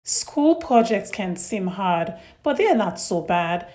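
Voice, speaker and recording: A woman hosting and narrating a read-aloud story for young children in simple, deliberate phrasing. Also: mid-range voice, very animated, dark tone.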